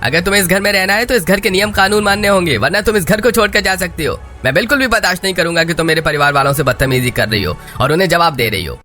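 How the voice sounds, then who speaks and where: Guy doing young husband in a moral cartoon, pushed-up voice, really animated, music behind. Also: high-pitched, fast talker, few pauses, pitched above the performer's own voice. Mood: indignant, scolding. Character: Rohan, angry young husband scolding his wife. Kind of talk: Hindi moral-story cartoon dubbing.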